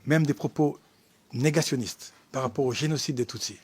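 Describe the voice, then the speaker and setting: A man from Rwanda speaking as an ambassador at a hearing, answering off the cuff with emphatic repetition and halting restarts. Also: mid-range voice, husky. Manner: emphatic, halting, repeats himself. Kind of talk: spontaneous formal answers at a hearing.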